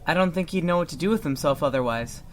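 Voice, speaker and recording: A man's voice: a little high, fast, a little hiss or hum, close to an everyday voice. Easygoing male shooting instructor played by an actor. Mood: friendly. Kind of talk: amateur anime fan audio drama acting.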